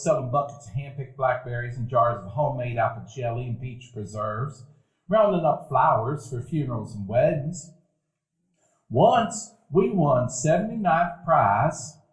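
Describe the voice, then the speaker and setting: A man narrating a live radio play in a reflective, storytelling way. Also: mid-range voice. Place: event hall before a live audience. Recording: echoey hall recording.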